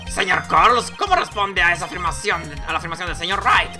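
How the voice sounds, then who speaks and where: A man's voice: high voice, fast talker, lightly acted. Guy doing a grumpy old judge, formal and scolding, video game courtroom, music behind. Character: stern, exasperated old male judge.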